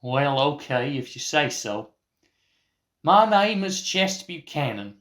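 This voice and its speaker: A man voicing a folksy, cocky young Texan with an excitable manner and a Texan accent, in a radio play. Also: fairly high pitch, slow, exaggerated voice. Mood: folksy, defensive.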